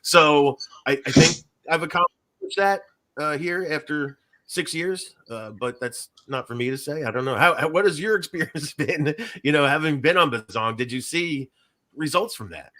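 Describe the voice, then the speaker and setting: A man's voice: fairly high pitch, normal speed, bright, forceful tone. A man hosting a casual podcast, talking in a rambling way that trails off mid-thought. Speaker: podcast host.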